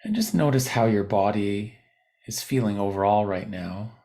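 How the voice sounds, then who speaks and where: Low, creaky-voiced man guiding meditation. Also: animated. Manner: gentle, unhurried, instructive with long pauses. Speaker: meditation teacher. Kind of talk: guided body scan meditation.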